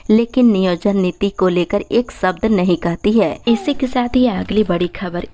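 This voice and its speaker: A woman's voice: medium pitch, darkish tone, no room sound. A woman presenter reading a scripted news bulletin in a formal manner, headline by headline.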